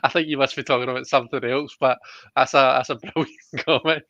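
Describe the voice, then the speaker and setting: A man's voice: medium pitch, fairly even intonation, fast talker, pushed and bright, no room sound, lo-fi sound. A man hosting a live tarot reading in a chatty, jokey way, reading out viewer comments as he goes. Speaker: host of a livestream tarot reading. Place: indoors, online livestream.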